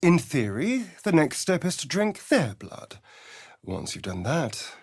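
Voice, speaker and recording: A man's voice: fairly high pitch, wildly swooping pitch, a little slow, breathy edge, no room sound, studio quality, heavily acted. A man playing a vain, sardonic vampire rogue in a video game, with an arch, theatrical, drawling delivery. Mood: earnest, wary. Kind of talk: video game character voice lines.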